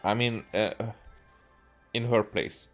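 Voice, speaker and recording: A man with a fairly low voice gives commentary on a game. He thinks aloud haltingly, circling back and repeating words. Soft music runs faintly behind him. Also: fairly even intonation. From Hungary. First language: Hungarian. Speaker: video game streamer. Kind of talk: rambling gameplay commentary.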